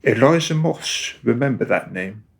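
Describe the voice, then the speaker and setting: A man narrating a radio play from a script in a measured storytelling manner. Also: medium pitch, animated, vocal fry, husky.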